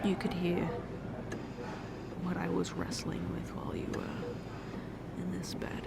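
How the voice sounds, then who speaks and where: A woman playing a distraught young fiancée who confesses in halting speech, often restarting her sentences. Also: fairly low pitch, completely flat delivery, creaky, very noisy, heavily acted. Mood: hushed, wounded. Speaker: ASMR roleplay performer. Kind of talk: ASMR roleplay.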